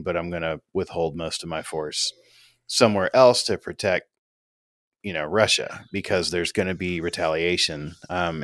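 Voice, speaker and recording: A man's voice: low voice, fairly bright tone, dry recording, clean studio sound. A man co-hosting a podcast, chatting casually in a rambling, opinionated way and swearing freely. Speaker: podcast co-host. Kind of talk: casual chat.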